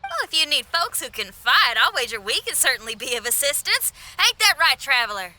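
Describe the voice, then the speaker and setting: A woman's voice: high-pitched, very animated, a little fast, sharp tone, slight background noise, heavily acted. A woman voicing a breezy, confident young female adventurer in a video game, with a folksy, casual, spirited manner. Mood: easygoing, teasing.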